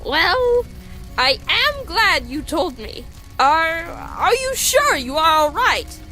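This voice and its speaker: A woman voicing an earnest, fussy young male skeleton in a comic dub, loud, with emphatic, formal diction. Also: big pitch swings, takes it slowly, full character voice, rough recording. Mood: worried, earnest. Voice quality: loud, high-pitched. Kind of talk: animated comic dub acting. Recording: music behind the voice.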